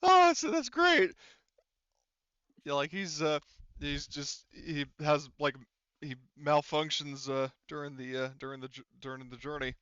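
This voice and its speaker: A man improvises narration for a tabletop game. His voice is bright and smooth, though he often stalls to think aloud. The recording is dry and slightly muffled. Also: a little high, expressive, measured pace, dry recording. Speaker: game master.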